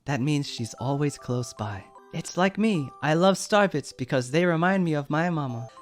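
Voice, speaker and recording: A man's voice: on the high side, animated, normal speed, close to an everyday voice. A man doing an earnest little star creature. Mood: comforting. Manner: earnest, comforting. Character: small childlike star creature, loving and eager. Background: background music.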